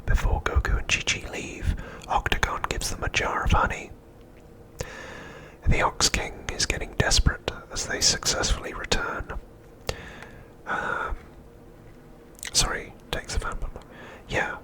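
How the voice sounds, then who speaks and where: A middle-aged man whispers his way through an ASMR narration. His voice is all breath, with no voiced tone. He reads gently and takes his time, leaving deliberate pauses between phrases. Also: rough recording. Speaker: ASMR host.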